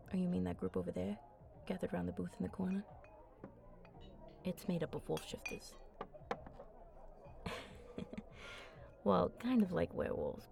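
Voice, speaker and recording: A woman playing a flirty, confident vampire in an intimate ASMR roleplay, talking softly and playfully up close and often dropping into breathy murmurs. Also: lowish voice. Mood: playful, chatty. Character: flirty, teasing, confident female vampire at a bar. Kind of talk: ASMR roleplay, intimate one-sided chat.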